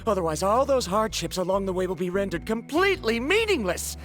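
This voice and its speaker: An actor playing an obsessive, excitable scholar in a video game, speaking in a dramatic, grandiose manner. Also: mid-range voice, a little fast, faint music behind. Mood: desperate. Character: obsessive, excitable scholar desperate to reclaim lost research.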